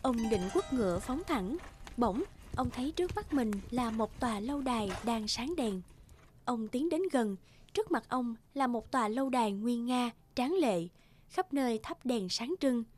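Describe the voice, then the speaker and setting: A woman's voice: fairly high pitch, animated, normal speed, darkish tone. A woman narrating a scripted fairy tale as the storyteller.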